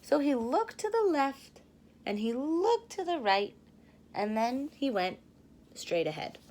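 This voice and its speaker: A woman in her thirties reading a children's storybook aloud like a teacher, expressively, pausing to explain and ask questions. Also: high-pitched, very animated, a little mellow.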